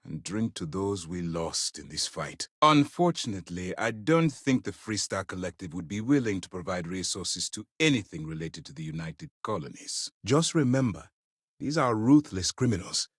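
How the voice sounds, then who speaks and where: A stern commander of a space navy in a video game. His low voice is measured and formal, yet it moves widely in pitch as he gives orders and warnings. The recording is close and dry. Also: sing-song, breathy edge, dry recording, heavily acted. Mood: sober, authoritative. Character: stern, disciplined male naval commander hunting space pirates. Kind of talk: dramatic video game dialogue.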